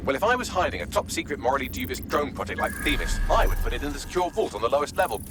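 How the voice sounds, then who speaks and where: A man's voice: on the high side, lively intonation, rapid-fire, music in the background, lightly acted. A man playing a witty, sardonic artificial intelligence assistant in a video game, with a dry, wordy, sarcastic delivery. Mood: dry, instructive. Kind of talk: scripted video game mission dialogue.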